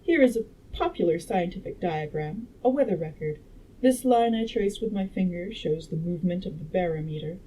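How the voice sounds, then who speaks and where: A woman reading aloud as a confident Victorian gentleman inventor who lectures in a didactic, assured way. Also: fairly low pitch, animated, moderate pace, natural voice. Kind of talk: audiobook-style character reading. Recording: noisy room background.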